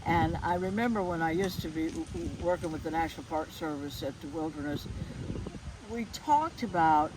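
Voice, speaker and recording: A woman hosting a casual interview, talking informally and somewhat haltingly as she thinks aloud. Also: low-pitched, animated, background noise. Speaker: interviewer, host.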